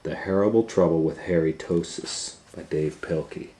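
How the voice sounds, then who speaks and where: A man reading a children's picture book aloud as a storytime host, animated and breaking off for chatty asides to the listener. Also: low-pitched, normal speed.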